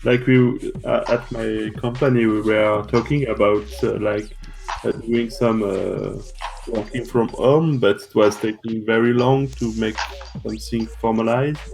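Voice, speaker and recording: A man's voice: on the low side, steady tone, a little slow, vocal fry, darkish tone, soft background music, low-quality recording. A man hosting a live stream, talking casually and searching for words. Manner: hesitant, lots of uh fillers. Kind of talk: casual chat.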